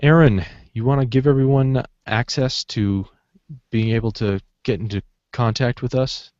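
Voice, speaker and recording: Male podcast moderator, low-pitched, conversational, close dry sound with muted top end. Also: steady tone, moderate pace, a little mellow, no room sound. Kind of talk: casual chat, moderating a discussion. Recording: dull, dry lo-fi podcast audio.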